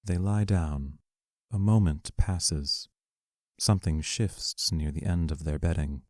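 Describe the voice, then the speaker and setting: A woman's voice: rumbling low, slow pace, darkish tone, dry recording, clean studio sound, exaggerated voice. A woman reading the stage directions of an audio play as narrator.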